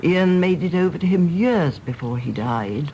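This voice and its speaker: A woman acting a brisk old English lady who runs her household, outspoken and fond but exasperated. Her genteel speech is emphatic and swoops widely in pitch. It is a radio drama with noise behind the voice. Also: animated, mellow, natural voice, poor audio. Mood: indignant, brisk. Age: older.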